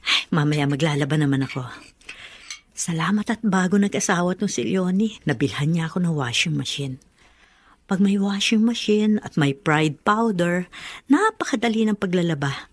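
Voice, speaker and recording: A woman playing a talkative, protective middle-aged Filipino mother in a radio drama, expressive and full of exclamations. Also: lowish voice, normal speed. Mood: chatty.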